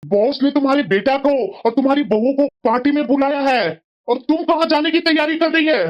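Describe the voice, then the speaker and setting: A woman's voice: high voice, fairly quick, lo-fi sound, close to an everyday voice. A woman voicing a sensible middle-aged husband in a family moral-story cartoon, scolding and lecturing reproachfully.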